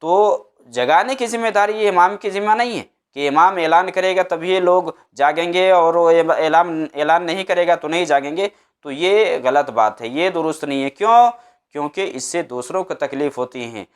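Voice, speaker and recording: A male Islamic preacher explains a religious ruling. His voice sits a little high and rings clean and resonant. He teaches emphatically, restating each point in short phrases. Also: normal speed, clear, resonant tone. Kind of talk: religious advice talk.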